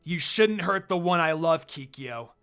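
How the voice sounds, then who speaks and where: Man acting a furious betrayed young half-demon, loud. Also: fairly high pitch, expressive, a little slow, smooth, faint music behind. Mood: angry.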